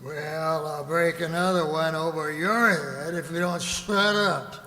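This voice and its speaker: An actor playing a boastful, hard-drinking frontier tavern bully in a radio drama, with coarse, folksy, swaggering speech. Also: male voice, fairly high pitch, steady tone, unhurried, bright, forceful tone. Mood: belligerent, boastful. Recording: echoey hall, reverberant room.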